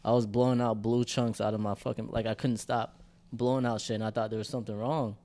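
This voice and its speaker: A man's voice: lowish voice, little pitch movement, fast talker, mellow. A young man co-hosting a podcast, telling stories in loose, profane slang. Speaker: podcast co-host. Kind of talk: casual chat.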